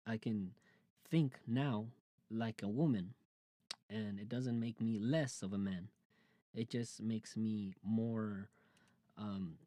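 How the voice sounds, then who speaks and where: Young male podcaster, late 20s, dark low voice, confessional rambling chat, dry close sound. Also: no room sound. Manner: rambling, confessional, many fillers and restarts. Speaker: podcast host. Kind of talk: casual personal monologue.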